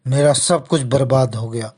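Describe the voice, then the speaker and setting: A woman's voice: a bass voice, normal speed, a little mellow, husky, voice pushed down. A woman voicing a haughty male village goldsmith in a dramatized moral story. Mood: humbled, pleading. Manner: contemptuous, scolding. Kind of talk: dramatized children's story dialogue.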